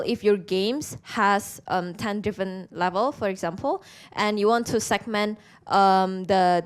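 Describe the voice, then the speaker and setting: Female conference speaker, Indonesian accent, mid-pitched clear voice, interactive presentation style. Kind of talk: presentation to an audience.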